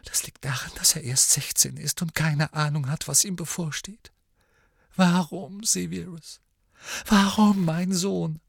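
A man voicing a desperate, pleading middle-aged witch, a frightened mother, in a breathy, tearful whisper for an audiobook story. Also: fairly high pitch, huge pitch swings, a little slow, long pauses, a bit bright, dry recording, studio quality, pitched above the performer's own voice, heavily acted. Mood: weeping, anguished. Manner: imploring, broken phrasing.

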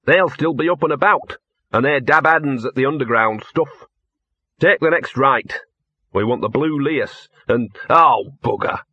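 A man's voice: mid-range voice, measured pace, breathy edge, no echo, highs cut off. A man voicing a small, weary, wheezing male dog who grumbles and complains. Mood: urgent.

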